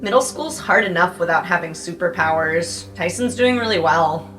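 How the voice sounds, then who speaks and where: A woman's voice: on the low side, animated, normal speed, rough recording. A woman talking casually as a player in a tabletop roleplaying game. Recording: guitar music under the voice.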